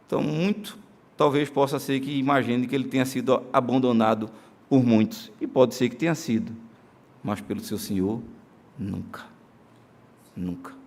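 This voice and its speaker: A male pastor with a Brazilian accent preaching a sermon in a church, earnest, moving between emphatic declarations and soft, slow solemn passages. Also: mid-range voice. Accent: Brazilian Portuguese. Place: church sanctuary during a live-streamed service.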